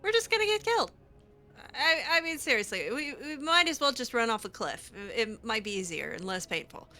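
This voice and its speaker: A woman's voice: fairly high pitch, sing-song, a little fast, pushed and bright. A woman improvising in character for a game roleplay, sardonic and hesitant, given to sighing. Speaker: gamer voicing her own character in a roleplay playthrough.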